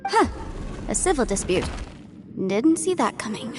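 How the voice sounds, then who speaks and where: A woman voicing an earnest, thoughtful young female legal advisor who speaks in a measured, articulate way. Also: high-pitched, sing-song. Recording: game audio with music. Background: game music.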